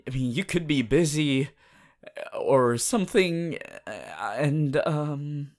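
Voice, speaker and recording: A shy, lovestruck teenage boy hero from an anime, played by a man, speaks straight to the listener in a romantic roleplay. He is awkward and flustered, halting and stammering through his words at a very slow pace. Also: on the high side, lively intonation, darkish tone. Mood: flustered, affectionate.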